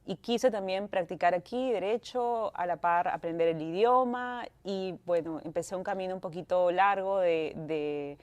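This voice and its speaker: A woman's voice: medium pitch, darkish tone. A woman in her thirties from Peru with a Peruvian Spanish accent, a lawyer answering interview questions in a chatty way and slipping in English words. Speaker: guest lawyer.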